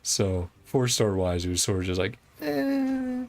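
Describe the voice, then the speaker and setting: A game streamer chatting casually to viewers in a halting, informal way. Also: medium pitch, huge pitch swings, creaky. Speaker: streamer playing a game and reading dialogue aloud. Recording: background music.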